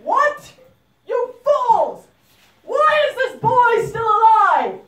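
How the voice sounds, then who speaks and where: A woman's voice: very high-pitched, sing-song, drawn-out words, fairly bright tone, full character voice. A woman voicing a furious, boastful demon king and father in a puppet show, with commanding, theatrical delivery. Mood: angry, shouting. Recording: echoey room, far from mic.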